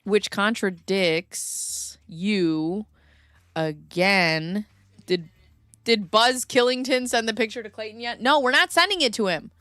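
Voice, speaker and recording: A woman's voice: mid-range voice, slow, bright, forceful tone, dry recording. A woman hosting a podcast, chatting casually with wry asides while going through documents. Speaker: podcast host. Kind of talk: casual commentary chat.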